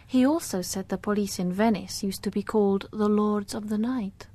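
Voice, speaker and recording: An actress playing a detective's calm, teasing wife in a radio drama, unhurried and thoughtful. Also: female voice, medium pitch, lightly acted. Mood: reflective, wry.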